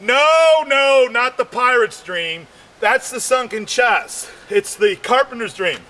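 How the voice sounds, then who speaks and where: A man doing a rambling stand-up comedy act, shouting his punchlines and losing his voice. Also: extremely high pitch, animated, slow pace, rough recording. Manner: rambling, shouts punchlines, keeps saying "man" and "you know".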